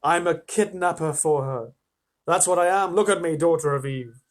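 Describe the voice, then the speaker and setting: A man's voice: fairly high pitch, voice pushed up, close to an everyday voice. A man voicing a timid, sorrowful male faun in a story reading, stammering and wailing in guilt. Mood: guilty, anguished. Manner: wailing, drawn-out, stammering, repeating himself.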